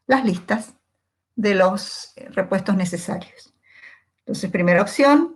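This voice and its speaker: A woman teacher giving a step-by-step grammar lesson in a didactic way, posing questions and answering them herself. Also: a little high. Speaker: English teacher.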